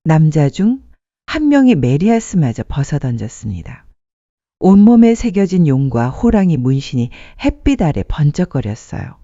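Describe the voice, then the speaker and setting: Mellow storyteller with animated pitch. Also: moderate pace, no echo. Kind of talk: scripted dramatic story narration. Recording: muffled dry studio sound.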